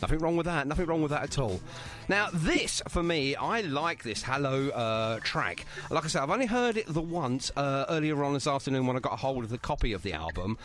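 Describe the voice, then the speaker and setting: A man's voice: mid-range voice, lively intonation, brisk, hardly any pauses, fairly bright tone, soft background music. A chatty, jokey man, hosting a casual radio interview with a band. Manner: chatty, jokey, apologetic teasing. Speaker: radio show host.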